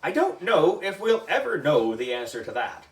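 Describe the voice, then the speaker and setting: A man's voice: a little high, very animated, normal speed, pitched above the performer's own voice. A man voicing an eccentric, matter-of-fact male science teacher in a storybook, explaining things emphatically. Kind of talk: storybook character acting. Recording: roomy echo, distant microphone.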